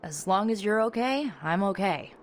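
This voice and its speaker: A woman's voice: medium pitch, animated, moderate pace, a little hiss or hum, lightly acted. An actress playing a supportive young woman ally in a video game, talking in an informal, conversational way.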